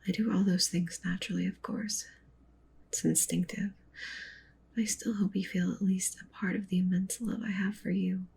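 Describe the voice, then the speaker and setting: A woman playing a doting, possessive yandere wolf witch girlfriend in an intimate, breathy whispered ASMR roleplay. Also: level tone, moderate pace, a little mellow, a little hiss or hum, natural voice. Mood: adoring, intense. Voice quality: breathy whisper with low creaky murmur. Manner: intimate, tender, clingy, coaxing.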